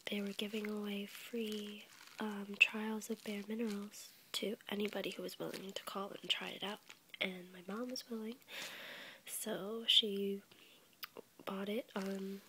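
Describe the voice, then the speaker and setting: A woman's voice: mid-range voice, a little hiss or hum, low-quality recording. Whispering young woman with a cold. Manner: soft unvoiced whisper, rambling with fillers and small laughs. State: sick with a cold, congested. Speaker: ASMR YouTuber. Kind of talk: whispered ASMR ramble. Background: crinkling packaging.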